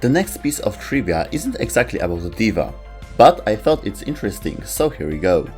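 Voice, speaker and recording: Low-pitched man narrating over music. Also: very animated, moderate pace, a little mellow. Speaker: video host and narrator. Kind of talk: scripted narration.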